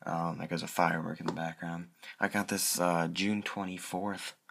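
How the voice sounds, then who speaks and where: A man presenting his hobby in a casual, unscripted show-and-tell, halting and correcting himself as he goes. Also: low voice, level tone, fairly bright tone. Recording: clearly noisy amateur home recording.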